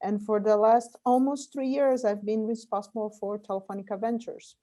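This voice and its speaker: A woman's voice: on the high side, fairly even intonation, normal speed, mellow. A middle-aged woman from Brazil, a Portuguese speaker, answering interview questions as a guest about her career and business. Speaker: guest, corporate venture investor.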